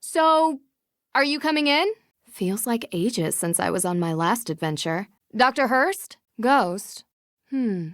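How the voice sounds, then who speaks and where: A woman's voice: on the high side, expressive, slow, fairly bright tone, no room sound, clean studio sound, full character voice. An actress playing a curious, polite and plucky young woman detective in an adventure game. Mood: inquisitive. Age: young adult. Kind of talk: scripted adventure game dialogue.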